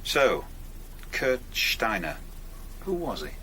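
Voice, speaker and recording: An actor playing a persistent stranger who asks probing questions in a radio drama. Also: male voice, mid-range voice, big pitch swings, slow, pushed and bright, a little hiss or hum, full character voice. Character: probing male outsider, persistent and calm.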